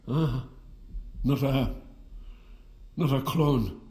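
An actor playing a confused, apologetic elderly brother in an audio drama, speaking hesitantly. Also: male voice, medium pitch, slow, dark tone, lightly acted. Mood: rambling, wry. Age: older. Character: confused, sorrowful elderly man, long-bereaved twin brother. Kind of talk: audio fiction drama acting. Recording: roomy sound with music behind.

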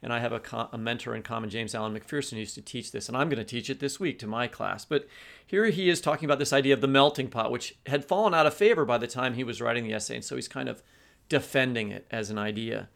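A man's voice: medium pitch, fast talker, creaky, a bit bright. A man co-hosting a literary podcast, discursive and restarting sentences as he builds his point. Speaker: podcast co-host, teacher.

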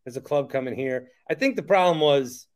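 A man's voice: mid-range voice, animated, brisk. A man hosting a podcast, chatting casually and prompting his guest with emphatic remarks. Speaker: podcast host. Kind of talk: casual interview chat.

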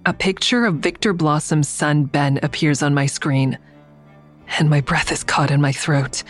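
A woman's voice: low-pitched, unhurried. An actress playing a guarded, defiant woman in an audio drama, narrating and speaking in a terse, dry, confiding way. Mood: uneasy. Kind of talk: first-person audio drama narration and dialogue. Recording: faint music under the voice.

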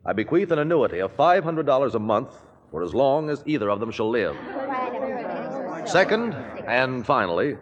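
An actor playing a smooth, controlling lawyer in a 1940s radio drama, speaking in a measured, formal and persuasive way. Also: male voice, mid-range voice, big pitch swings, highs cut off, a crowd in the background, lightly acted. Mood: dry, ceremonious. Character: smooth, controlling, scheming lawyer, adult man. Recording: vintage radio, long reverberant tail.

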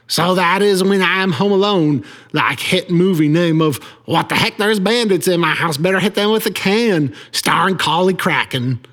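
A man hosting a podcast, introducing the show in a halting, folksy, oddly worded way with frequent stammers. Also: sits high, fairly bright tone, in a large hall. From Billings, Montana. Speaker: podcast host. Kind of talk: quirky scripted host monologue.

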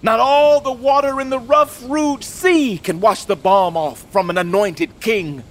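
A man's voice: very high voice, unhurried, fairly bright tone. An actor playing a proud, doomed young English king, speaking in grand, declamatory Shakespearean verse that swings from whispers to ringing cries. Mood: defiant. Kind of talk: Shakespearean stage acting.

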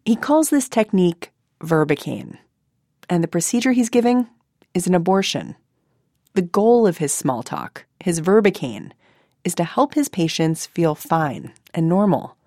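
An American woman with a lowish, very soft-edged mellow voice narrates as a podcast host. The sound is dry and close, recorded in a studio. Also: expressive, unhurried, no echo. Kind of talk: scripted narration and interviewing.

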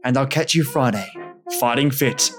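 A man's voice: medium pitch, moderate pace, music in the background. A man hosting a storytelling podcast, reading a scripted introduction. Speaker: podcast host.

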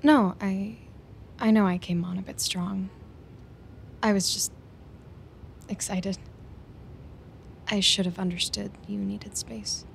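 An actress playing an intense, lonely young woman in an audio drama, dramatic and deliberate, with a dark, needy edge. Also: mid-range voice, fairly even intonation, creaky, a little hiss or hum. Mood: eager, awkward. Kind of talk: audio drama acting with confiding inner monologue. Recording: music behind the voice.

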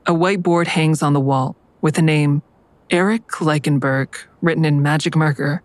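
Blunt, guarded female lead, acted: deep-voiced, dry first-person narration and dialogue for audio drama. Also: steady tone, normal speed, no room sound, close to an everyday voice. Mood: probing, guarded. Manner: dry, terse. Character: guarded, blunt, defiant woman narrating her story.

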